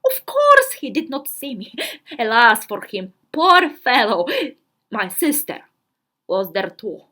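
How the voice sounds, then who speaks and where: A woman voicing a self-important, earnest fourteen-year-old girl diarist, reading dramatically with deliberate pauses and emphasis. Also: a little high, big pitch swings, sharp tone, heavily acted. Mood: gleeful, dramatic. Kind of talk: dramatic literary reading of a diary.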